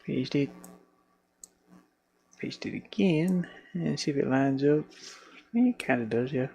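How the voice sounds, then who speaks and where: Slow, gravelly man muttering while he works. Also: on the high side, expressive, a little mellow, no room sound. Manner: muttering to himself in short fragments. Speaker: game developer narrating own work. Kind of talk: casual thinking aloud while working on computer art. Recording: clearly noisy with hum and clicking.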